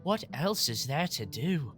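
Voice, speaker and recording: Casting: actress as stubborn, wounded young gang leader, low female pitch, very animated delivery, audio drama. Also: measured pace. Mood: bitter, defeated. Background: guitar music.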